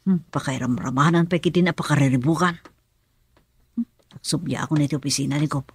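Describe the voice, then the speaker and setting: A woman's voice: low voice, quick pace, husky, no echo. A woman with an Ilocano accent playing a guarded investigator musing in a radio drama. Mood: pensive, wary.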